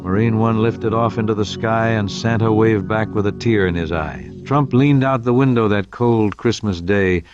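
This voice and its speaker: A man narrating a rhyming storybook tale in a measured, theatrical scripted style. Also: lowish voice, highs cut off, music in the background.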